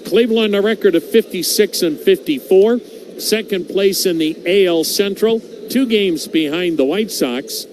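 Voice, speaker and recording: A man with an American accent calling baseball play-by-play on radio, in folksy, measured phrases. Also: high-pitched, a little mellow. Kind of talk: live radio sports commentary. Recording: noisy ballpark ambience behind.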